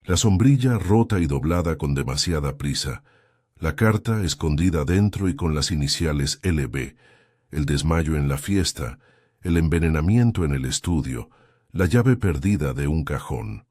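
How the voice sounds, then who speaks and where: Male narrator, deep voice with an expressive, varied delivery; dry studio sound. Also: unhurried, no room sound. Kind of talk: scripted audiobook narration.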